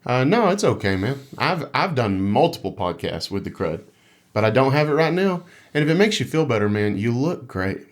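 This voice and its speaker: A man's voice: medium pitch, very animated, moderate pace. A man co-hosts a casual gaming podcast. He keeps things light with playful jokes and reads listener comments aloud in an easy, conversational way. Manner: joking, playful. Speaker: podcast co-host.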